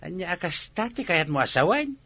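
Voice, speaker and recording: A man's voice: sits high, big pitch swings, brisk, bright, forceful tone, no room sound, heavily acted. A man playing a nosy, teasing village friend in a radio comedy-drama, gossipy and prying.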